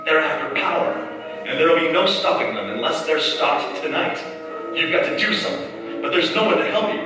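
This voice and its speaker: A man's voice: a little high, lively intonation, a little fast, natural voice. A man playing a grave, urgent narrator in a staged 1940s radio drama, dramatic and intense. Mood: suspenseful. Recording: echoey hall, far mic, music behind. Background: music.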